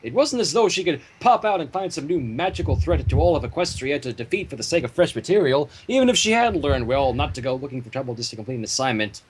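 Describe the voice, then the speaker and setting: A man reading a story theatrically on a livestream, breaking off into casual banter, with a husky voice. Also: fairly high pitch, animated, quick pace, hardly any pauses, slight background noise, poor audio. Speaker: fan fiction reader and livestream host.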